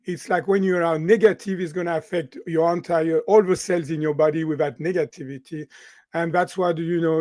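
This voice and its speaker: A man in his sixties from France with a French accent, a guest in an interview, talking in an animated way and often ending sentences with "right?". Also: fairly high pitch, dry recording. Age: 60s. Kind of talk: interview conversation.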